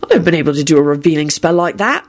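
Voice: mid-range voice, lively intonation, very fast, close to an everyday voice. A narrator voicing a stubborn, awkward young man in a story, nervy and given to swearing. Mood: suspicious, stubborn.

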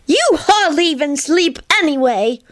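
A woman's voice: very high-pitched, expressive, measured pace, bright, forceful tone, pitched above the performer's own voice, heavily acted. A woman voicing Teddy, an earnest, sulky young boy who speaks with indignant feeling. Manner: indignant, earnest child talk.

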